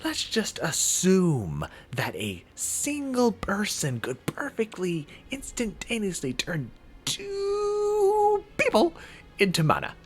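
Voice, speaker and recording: A man voicing an excitable, incredulous visual novel character who argues in exasperation and bursts into high outcries. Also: slow pace, exaggerated voice. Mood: exasperated.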